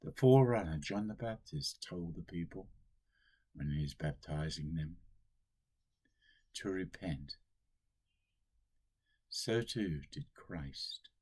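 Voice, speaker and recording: An older man, a priest, giving a solemn sermon at a slow, deliberate pace, recorded on a webcam in a small room at home. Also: deep voice, expressive, darkish tone, breathy edge.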